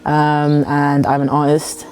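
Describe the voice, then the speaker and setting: A young woman speaks low in her range. Her tone is dark and mellow. She talks in a relaxed, loose way, padding her sentences with "like" and "um" as she answers interview questions. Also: slow. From South London. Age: 20s. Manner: relaxed, many "like" and "um" fillers. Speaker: recording artist. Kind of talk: casual interview talk. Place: villa.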